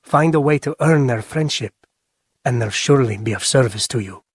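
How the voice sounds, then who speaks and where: An actor playing a guarded informant in a video game, husky and measured, with a dry, sceptical manner. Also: male voice, medium pitch, background noise, natural voice. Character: guarded male assassin informant. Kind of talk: dramatic video game acting.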